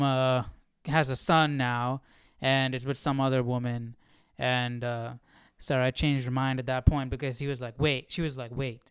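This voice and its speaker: Guy thinking out loud on a study stream, smooth clear voice, real dry close sound, asks himself stuff. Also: mid-range voice, no room sound. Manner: musing, questioning, halting as he works out thoughts. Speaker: host of a live Bible study stream. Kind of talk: casual reading commentary, thinking aloud.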